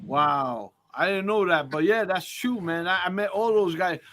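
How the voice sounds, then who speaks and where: Man, boxing history buff, high voice, bright edge, excited, stammers, swears. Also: moderate pace. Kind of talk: casual group chat.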